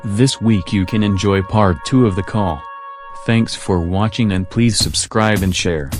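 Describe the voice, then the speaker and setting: A man's voice: fairly low pitch, measured pace. A man hosting a prank call video, narrating and talking on the phone. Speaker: prank caller and channel host. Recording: loud music over the voice. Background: loud music.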